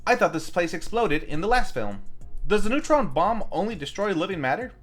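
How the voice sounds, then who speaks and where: A man's voice: high-pitched, sing-song, a little fast, fairly bright tone, soft background music. A man hosting a film review, reading scripted narration with playful, wry asides. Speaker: host of a film review show.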